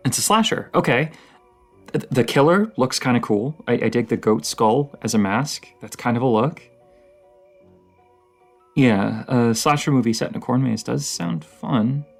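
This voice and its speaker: Man acting as a dorky, gravelly-voiced nerdy partner. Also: medium pitch, lively intonation, soft background music. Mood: playful, curious. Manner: halting, stammering, nerdy enthusiasm. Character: dorky, excitable partner, a rambling horror-movie nerd. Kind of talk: intimate roleplay audio, casual chatty rambling.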